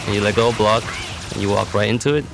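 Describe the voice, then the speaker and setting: A man's voice: fairly low pitch, fast talker, gravelly, poor audio. A man giving matter-of-fact, instructional commentary for a fighting game tutorial. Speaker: competitive gamer presenting a character demo. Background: music.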